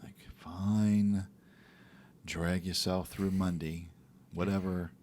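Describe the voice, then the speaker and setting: A man co-hosting a podcast, talking in a relaxed, reflective way about faith and daily life, sometimes acting out little quotes. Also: sits low, slow, a little hiss or hum. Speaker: podcast co-host. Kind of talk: casual faith talk.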